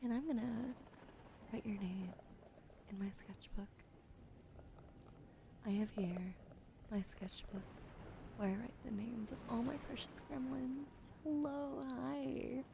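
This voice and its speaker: A woman whispering gently in a slow, soothing ASMR stream, welcoming and thanking her viewers. Her voice carries a light vocal fry. A clear steady hiss of noise sits behind her. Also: fairly high pitch. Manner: greets and thanks chat.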